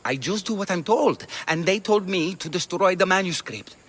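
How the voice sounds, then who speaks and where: An actor playing a timid, obedient low-ranking Athenian guard in a video game, speaking hesitantly. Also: male voice, animated, normal speed, fairly bright tone, husky, full character voice. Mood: scared.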